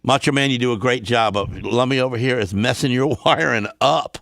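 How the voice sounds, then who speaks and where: A man's voice: mid-range voice, brisk, creaky, a bit bright, no echo. A man bantering casually as a radio show sidekick, with a folksy, blunt way of talking. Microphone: close studio microphone.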